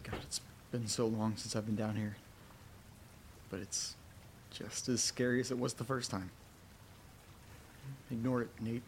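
An actor playing an anxious young man alone in a creepy house, talking haltingly to himself in a horror audio drama. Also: mid-range voice, flat delivery, noisy background, natural voice. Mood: nervous. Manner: halting, muttering to himself, swearing. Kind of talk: horror audio drama, first-person monologue.

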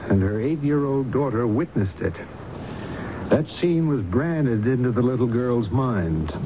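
An actor playing a calm, learned hypnotist doctor in a 1970s radio drama, speaking in a measured, explanatory way. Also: male voice, medium pitch, close to an everyday voice. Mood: earnest, explanatory. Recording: noisy, dull vintage radio broadcast.

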